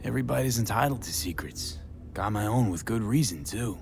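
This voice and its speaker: A man's voice: on the low side, animated, fairly quick, creaky, darkish tone, faint music behind. An actor playing a hardened, weary male mercenary in a game cutscene, speaking in terse, sardonic, clipped lines. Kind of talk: video game cutscene acting.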